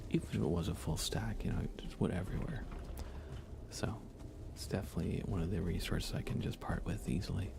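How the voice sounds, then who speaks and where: An American man speaking in soft, breathy whispers, chatting casually and unhurriedly to viewers of an ASMR livestream. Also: low voice, background noise. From United States. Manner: hushed, unhurried, conversational.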